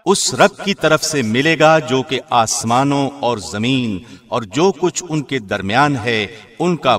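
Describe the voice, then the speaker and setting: Mid-pitched man reading scripture formally. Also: a bit bright. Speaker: translation reader, radio host.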